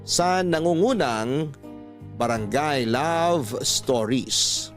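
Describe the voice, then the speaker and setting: A man with a Filipino accent narrating as a radio host, in a scripted, dramatic, measured storytelling style. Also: mid-range voice, animated, soft background music. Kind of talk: scripted dramatic narration, reading a listener's letter.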